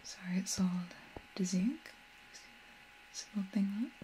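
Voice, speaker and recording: A young woman giving whispered ASMR commentary over a video game, breathy, soft, gentle and a little hesitant. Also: medium pitch, animated, moderate pace, slight background noise, low-quality recording. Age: young adult. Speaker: video game streamer and tour guide.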